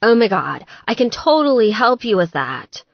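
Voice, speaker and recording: A brash, tipsy gal pal, played by a woman narrator, loyal and blunt, with a slightly slurred, emphatic delivery. Her pitch moves around a lot, and the sound is close and dry, if a bit dull. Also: very animated, moderate pace, no room sound. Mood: eager. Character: tipsy, blunt, loyal female best friend. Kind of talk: audiobook character dialogue.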